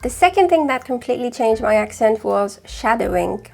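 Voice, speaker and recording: A woman whose first language is Farsi, speaking in a British accent with a slight northern English touch, giving calm, measured, scripted explanations as a language-learning host. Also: fairly high pitch, gravelly. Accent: British with slight northern English influence. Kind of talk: scripted talk to camera.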